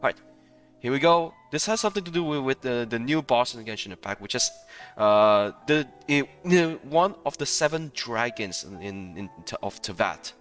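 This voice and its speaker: A man talks casually through his game playthrough with music behind him. His pitch moves around a lot, and he rambles and halts, restarting thoughts and chuckling between phrases. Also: expressive, normal speed, a bit bright. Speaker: gaming streamer.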